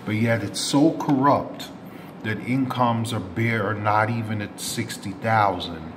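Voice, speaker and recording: A man hosting a community news channel, giving unscripted commentary to subscribers in a rambling way, often correcting himself with rough approximations. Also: on the low side, unhurried. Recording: clear background noise, ambient room sound.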